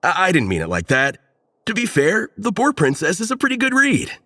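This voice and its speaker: Excitable, animated geeky young guy. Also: high-pitched, a little fast, dry recording, studio quality, heavily acted. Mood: geeky, earnest. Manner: earnest, excitable. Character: earnest, bookish young man, enthusiastic geeky fan. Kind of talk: English anime-style video game dub.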